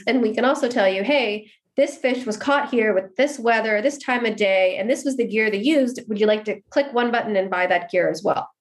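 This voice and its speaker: A woman's voice: medium pitch, fairly quick, few pauses. A woman from Seattle with an American accent, a guest giving casual, explanatory interview answers with occasional restarts. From Seattle, USA. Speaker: guest, marketing executive.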